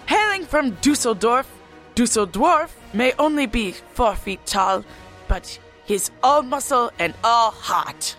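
Woman playing slow-spoken, earnest German officer. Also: a little high, animated, a bit bright, soft background music, heavily acted. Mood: proud announcing. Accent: mock German. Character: dutiful German woman military doctor, earnest underling. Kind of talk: comedic character acting.

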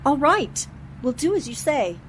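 A plucky newspaperwoman played by a woman speaks in a high voice with big swoops of pitch. She is quick-witted, earnest and emphatic, in the manner of a 1940s radio drama. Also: sing-song, slight background noise. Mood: urgent, alarmed.

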